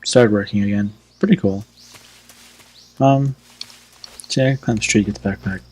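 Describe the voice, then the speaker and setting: A man giving casual live commentary on a game, halting and offhand, in short clipped fragments. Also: fairly low pitch, darkish tone, low-quality recording. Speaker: gameplay commentator.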